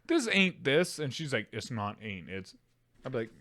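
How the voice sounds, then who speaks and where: A man's voice: mid-range voice, very animated, moderate pace, fairly bright tone, dry recording. A young man co-hosting a podcast in casual chat, loose and joking, cursing and acting out quoted lines. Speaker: podcast co-host.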